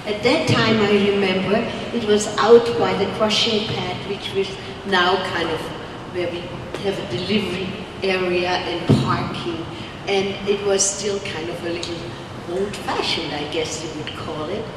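Older woman, host type, rambling welcome speech, booming hall reverb, distant PA sound, steady machine hum. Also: measured pace. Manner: rambling, warm-hearted, repeats and restarts words. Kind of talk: informal welcome speech into a handheld mic. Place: large winery production hall with steel equipment, indoors. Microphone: handheld microphone through a PA. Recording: echoey hall heard from far off through a PA.

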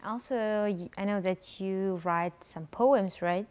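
A young woman with a medium-pitched voice hosts a studio talk show. She puts short, simple questions to her guest in a conversational style. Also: slow, a little mellow. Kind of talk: conversational interviewing.

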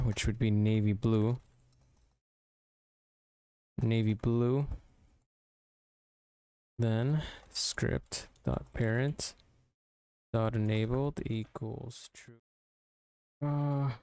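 A man narrating a software tutorial informally, thinking aloud step by step into a close mic. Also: mid-range voice, steady tone, takes it slowly, long pauses, clear, resonant tone, dry recording. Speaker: tutorial presenter.

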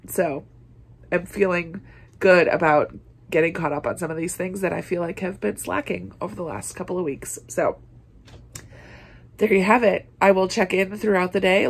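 A woman chats casually to her viewers as a vlogger. Her voice sits slightly low, with plenty of fry and a husky, rough-edged texture. The delivery is informal and easygoing. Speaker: book vlogger, host. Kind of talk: casual weekend vlog chat to camera.

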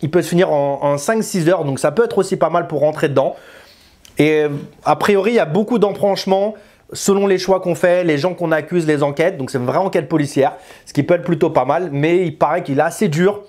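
Chatty man, slightly high voice. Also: brisk, darkish tone. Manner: informal, slangy, chatty, gesturing. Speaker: video game reviewer. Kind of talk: casual talk to camera, reviewing games. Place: small indoor room with bookshelves.

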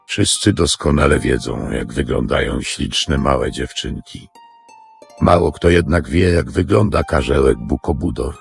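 A man with an extremely low voice tells a children's fairy tale as narrator of an audio drama. The voice is gravelly, with music clearly playing behind it. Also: measured pace.

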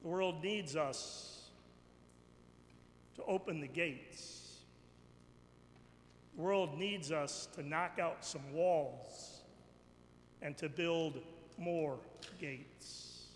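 A middle-aged or older man preaching a sermon from a church pulpit in a deliberate, declamatory manner, repeating phrases for emphasis. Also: on the high side. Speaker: bishop preaching. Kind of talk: sermon, slow and emphatic with pauses. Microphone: pulpit microphone. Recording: echoey church hall, background noise.

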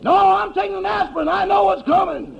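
A man playing a wisecracking, put-upon valet with a gravelly voice in a 1950s radio comedy. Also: very high-pitched, fairly quick, full character voice. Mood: wry. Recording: muffled 1950s radio recording.

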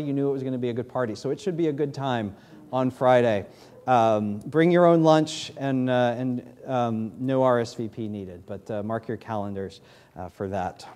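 Pastor, 30s man, New England accent, mellow tone, many ums, pulpit mic in big sanctuary. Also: medium pitch. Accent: New England American. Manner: halting, many fillers, friendly. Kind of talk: church announcements and prayer. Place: large church sanctuary, in doors. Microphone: podium mic.

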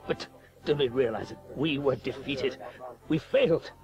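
An actor playing a defensive failed schemer recounting events in a radio comedy. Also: male voice, medium pitch, moderate pace, music in the background, lightly acted. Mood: baffled. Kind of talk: radio comedy drama acting.

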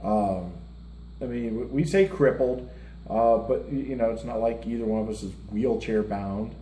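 A man's voice: mid-range voice, moderate pace, mellow. A middle-aged man co-hosting a music reaction show, talking casually with blunt, self-mocking humor while seated at a table. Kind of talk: casual chat. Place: indoor room, seated at a table before a lit curtain. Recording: roomy room with faint hiss.

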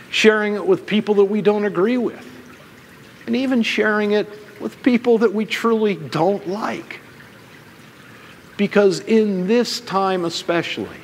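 A middle-aged American man, a pastor preaching a sermon in deliberate, emphatic phrases with pauses. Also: on the high side. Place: church room with stained glass windows, indoors. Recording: long hall reverb, some background noise.

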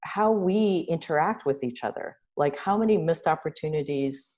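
A middle-aged woman from New City, a guest on a video call, telling stories in a lively, animated way. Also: deep voice, moderate pace, highs cut off. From New York City. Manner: animated storyteller, gestures a lot, laughs. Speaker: guest, social entrepreneur. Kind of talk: casual interview chat. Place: home room indoors. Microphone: video call webcam.

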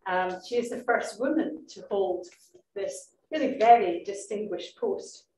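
A woman hosting a society meeting, introducing a guest speaker in a halting manner with many ums. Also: mid-range voice, expressive, mellow. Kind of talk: formal introduction. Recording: distant echoey hall, faint noise.

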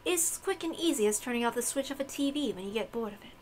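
A woman voicing a solemn, knowing witch in a visual novel, measured and didactic. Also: fairly high pitch, animated, slight background noise, lightly acted. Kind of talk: acted visual novel dialogue.

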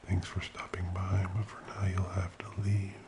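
Man, soft-spoken ASMR, deep flat murmur, half-whispered, unhurried, soothing, close mic, steady rain-like hiss behind. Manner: hushed, often slipping into whisper, unhurried and soothing. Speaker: ASMR host. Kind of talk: soft-spoken ASMR personal attention, slow guided relaxation.

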